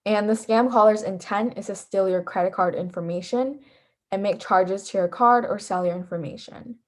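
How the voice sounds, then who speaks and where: A woman's voice: medium pitch, normal speed. A teenage young woman presenting a scripted lesson as an instructor. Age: teens. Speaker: volunteer instructor.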